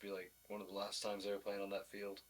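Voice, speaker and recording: Young man, athlete guest, low-leaning voice with little pitch movement, relaxed interview chat. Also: fast, slight background noise. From United States. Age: young adult.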